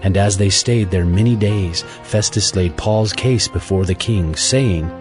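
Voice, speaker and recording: A man's voice: sits low, measured pace, over music. A man narrating scripture as the storyteller of a dramatized audio Bible.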